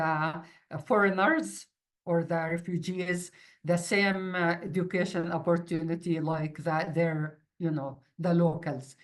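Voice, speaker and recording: A middle-aged woman, a native Arabic speaker with an Arabic accent, gives an informal interview account in a halting way, searching for words. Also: on the low side. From Palestinian refugee from the Middle East. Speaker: university mathematics instructor.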